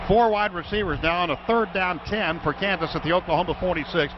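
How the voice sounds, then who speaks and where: High-pitched American football radio announcer, man. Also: quick pace. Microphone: broadcast booth microphone. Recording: dull radio broadcast, loud stadium background noise.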